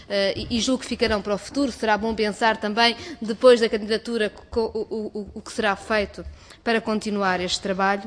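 A woman with a European Portuguese accent, a member of parliament giving a formal, articulate statement in a committee hearing. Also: medium pitch.

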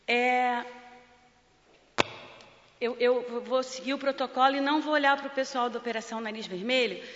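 A woman's voice: a little high, moderate pace, a bit bright. A woman with a Brazilian accent, a charity president and clown, giving a joking, emotional award acceptance speech. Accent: Brazilian Portuguese. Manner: emotional, joking, fighting back tears. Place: large parliament hall. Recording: lo-fi with long hall reverb.

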